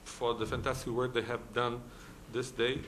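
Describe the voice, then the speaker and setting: A man's voice: mid-range voice, level tone, moderate pace, a bit bright, a little hiss or hum. A man from Brazil with a Brazilian Portuguese accent, a law professor speaking at a conference, hesitant, with many fillers and restarts. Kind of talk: conference talk. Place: conference hall.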